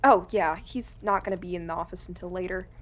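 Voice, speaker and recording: A woman's voice: fairly low pitch, fairly even intonation, quick pace, lightly acted. A woman playing a friendly, chatty office coworker in a cyberpunk audio roleplay. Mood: friendly, breezy.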